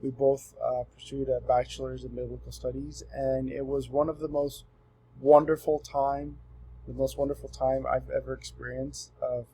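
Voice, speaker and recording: Mellow, soft-edged young man from Southern California. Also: medium pitch, moderate pace, husky, rough recording. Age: 20s. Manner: relaxed and earnest, with frequent ums and restarts. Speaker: student presenting himself. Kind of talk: casual self-introduction for a class assignment.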